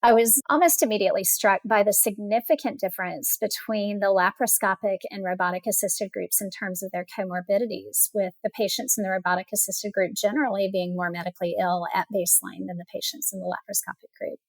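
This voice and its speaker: A woman's voice: medium pitch, fairly even intonation, quick pace, hardly any pauses, dry recording, low-quality recording. Articulate woman interviewer, dry close sound. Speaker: podcast host and interviewer; burn surgeon. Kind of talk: scripted-sounding interview questions.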